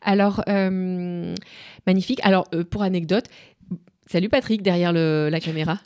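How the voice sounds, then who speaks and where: A woman's voice: on the low side, steady tone, moderate pace, darkish tone, smooth. A woman hosting a casual radio conversation, chatty and encouraging, with frequent interjections. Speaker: interview host.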